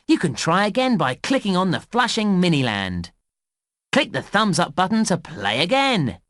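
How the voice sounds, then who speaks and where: High-pitched, animated kids' game announcer. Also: male voice, no echo, clean studio sound. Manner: upbeat presenter delivery, clear instructions. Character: cheerful male park announcer guiding young players. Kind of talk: scripted children's game narration.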